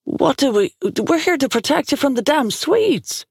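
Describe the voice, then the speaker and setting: A woman's voice: low-pitched, lively intonation, brisk, creaky, no echo, clean studio sound, full character voice. A woman voicing a brash, irreverent man with a sardonic, blustering way of talking in a story. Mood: sputtering. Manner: sardonic, sputtering.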